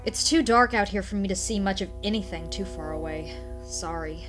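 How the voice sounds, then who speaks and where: A woman's voice: mid-range voice, moderate pace, music in the background, close to an everyday voice. A woman voicing a sassy, good-hearted teenage Riolu girl in a fan audio drama. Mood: dry, determined.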